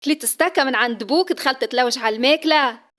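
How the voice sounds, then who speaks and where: A woman's voice: high voice, rapid-fire, a bit bright. A woman with a Tunisian accent voicing a bustling older mother who fusses and scolds her daughter. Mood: shrill.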